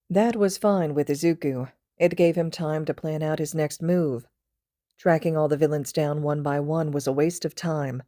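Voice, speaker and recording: A woman's voice: low voice, warm tone, no echo, studio quality. A woman reading fan fiction aloud as a scripted story narration. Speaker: podfic reader.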